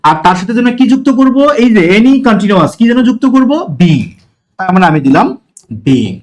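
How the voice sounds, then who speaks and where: A man's voice: high voice, sing-song, moderate pace. A man with a Bangladeshi Bengali accent teaching an English grammar lesson, explaining step by step and asking and answering his own questions. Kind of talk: classroom-style grammar lesson.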